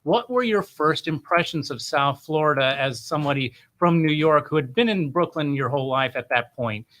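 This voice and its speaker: A man's voice: on the high side, lively intonation, normal speed, a bit bright. A middle-aged man hosting an interview, asking questions in a casual, conversational style. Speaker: interview host.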